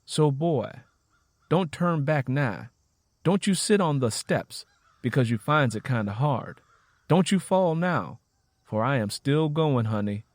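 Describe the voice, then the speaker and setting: A man's voice: mid-range voice, expressive, a little slow, no echo, clean studio sound. A man voicing a weary, resilient mother with a Southern US accent, earnestly reciting advice to a son. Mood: weary, encouraging.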